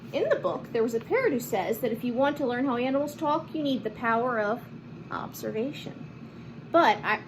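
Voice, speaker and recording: A woman reading a picture book aloud to children in an expressive, animated manner, with chatty asides and simple explanations. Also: a little high, noisy background. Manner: animated, acts out lines and explains words to children. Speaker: children's read-aloud host.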